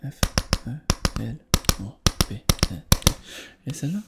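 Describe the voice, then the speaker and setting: A man with a Quebec French accent doing a soft, hushed, reassuring ASMR roleplay as an examiner. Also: medium pitch, expressive, takes it slowly, vocal fry, very noisy.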